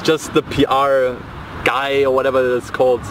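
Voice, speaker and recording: A man playing a slippery, cornered racing event boss in a game cutscene, talking in a halting, casual, placating way. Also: medium pitch, monotone, noisy background, close to an everyday voice. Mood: resigned, confessing. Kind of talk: dramatic game cutscene dialogue.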